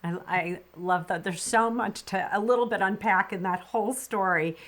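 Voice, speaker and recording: A woman's voice: mid-range voice, normal speed, a bit bright. A middle-aged woman hosting a podcast interview, chatty and affirming, with frequent likes and uhs. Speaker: podcast host.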